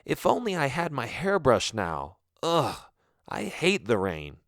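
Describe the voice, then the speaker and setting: A man's voice: mid-range voice, wildly swooping pitch, a little slow, fairly bright tone, no echo, studio quality, voice pushed up, heavily acted. A man reading a story aloud, voicing a vain, scornful teenage girl who mocks and accuses in a raised voice. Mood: grumbling. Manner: mocking, accusing.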